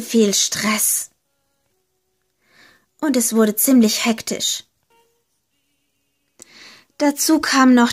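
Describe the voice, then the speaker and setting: A woman with a fairly high voice tells a little storybook tale very slowly. She takes her time over each phrase and gently stresses the words that matter. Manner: slow, deliberate, gently emphatic. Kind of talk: slow storybook narration.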